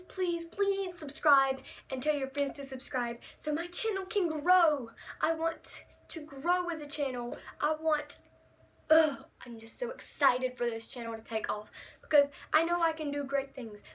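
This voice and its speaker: A teenage girl with a high, bright voice tells stories about herself straight to the camera. She is chatty and dramatic and makes fun of herself as she goes. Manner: chatty, dramatic, giggly, self-mocking. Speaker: vlogger. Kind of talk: casual storytime vlog.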